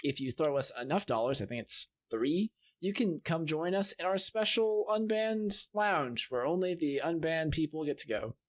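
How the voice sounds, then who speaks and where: Male host, informal group chat show, voice a touch high. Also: expressive, normal speed, few pauses. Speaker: podcast host. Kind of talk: casual group chat hosting.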